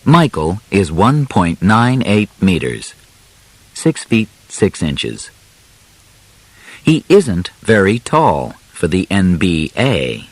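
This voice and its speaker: Male narrator for a simplified audiobook: very unhurried, clearly articulated phrasing, lively intonation, dry close sound. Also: low-pitched, dry recording. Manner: deliberate, carefully enunciated, short phrases with pauses.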